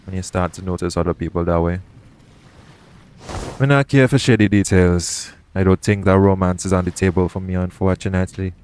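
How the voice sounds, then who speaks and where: Casting: male actor as roguish, guarded pirate captain, deep voice, measured intimate delivery for audio roleplay, noisy ambient backdrop. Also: darkish tone, lightly acted. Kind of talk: intimate audio roleplay acting addressed to the listener.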